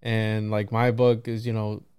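Male podcast host, clear resonant voice, loose and rambling conversational delivery, very dry room sound. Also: on the low side, completely flat delivery, measured pace, a little mellow, no echo. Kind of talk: casual conversational interview.

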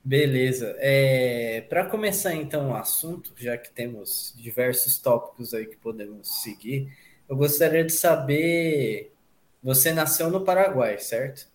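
A male podcast host with a Brazilian accent speaks in a medium-pitched voice. He interviews casually and conversationally, and the recording has a strong room echo. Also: animated, measured pace. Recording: echoey room sound.

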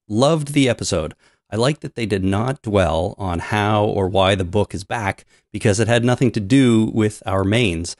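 Podcast co-host, male, from Canada, low-pitched, relaxed and hesitant, dry studio sound. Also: no room sound. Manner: relaxed, hesitant with many fillers. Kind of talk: casual chat, reading news items.

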